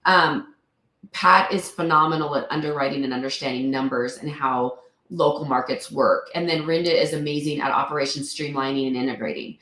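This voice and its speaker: A woman's voice: a bass voice, fairly quick, a bit bright, reverberant. A woman investor in a casual interview, sounding throaty after a cold, talking earnestly with many fillers. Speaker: real estate investor guest.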